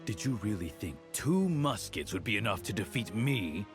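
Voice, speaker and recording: An actor playing a smug, cruel aristocratic baron in a video game, mocking his foes in a theatrical, drawn-out way. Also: on the low side, big pitch swings, music in the background. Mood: menacing. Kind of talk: dramatic video game acting.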